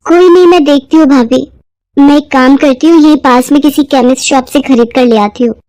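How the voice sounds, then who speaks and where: A woman's voice: high voice, fairly quick, darkish tone, dry recording, lightly acted, rough recording. A woman voicing a polite, earnest young newlywed bride in a moral-story drama. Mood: worried.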